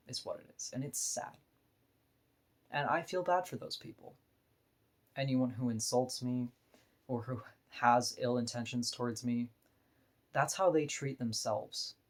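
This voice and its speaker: A woman with a deep voice, talking bluntly and frankly in a candid personal vlog monologue, laughing now and then between points. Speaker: vlogger.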